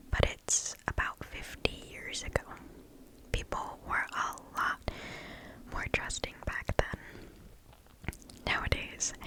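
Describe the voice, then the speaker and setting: ASMR story reader, female. Full close-mic whisper, very unhurried, hushed and deliberate delivery. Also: low-quality recording. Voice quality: breathy whisper. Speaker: ASMR host reading stories. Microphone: close microphone.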